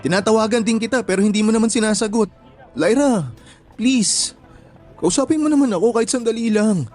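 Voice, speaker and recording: A man's voice: sits high, a little fast, darkish tone, faint music behind. A man acting a desperate jilted boyfriend in a radio drama, pleading and insistent. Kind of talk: emotional radio drama dialogue.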